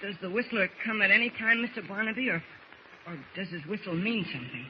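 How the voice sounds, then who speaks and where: A man's voice: sits high, fast talker, lightly acted. An actor playing an eager, wide-eyed boy with gee-whiz exclamations, in the manner of 1940s radio drama. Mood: curious. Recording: muffled, very noisy old radio recording.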